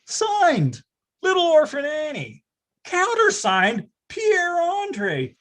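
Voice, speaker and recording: A performer playing an excitable, grandiose narrator recalling a nine-year-old's boyhood in a theatrical readers theatre style. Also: extremely high pitch, very slow, full character voice. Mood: thrilled.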